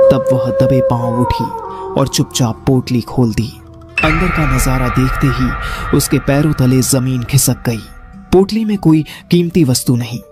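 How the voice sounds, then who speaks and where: Casting: male horror storyteller, mid-pitched, dramatic and deliberate, light music bed. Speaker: narrator. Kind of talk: scripted horror story narration.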